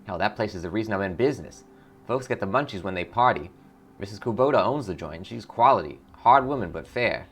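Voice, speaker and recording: A man's voice: lowish voice, lively intonation, fairly quick. A man voicing a chatty, streetwise food vendor in a video game. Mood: friendly pitch. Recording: clear background noise.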